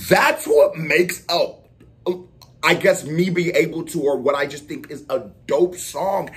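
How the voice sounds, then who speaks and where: Animated man reacting with fairly high voice. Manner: animated, exclaiming, thinks out loud. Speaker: music reaction host. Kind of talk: casual reaction commentary. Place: small indoor room with posters on the wall.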